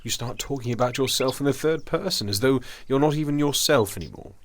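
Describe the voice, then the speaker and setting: An actor playing a cool, teasing, bluntly philosophical country doctor in an audio drama. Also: male voice, medium pitch, a little fast, no room sound, natural voice.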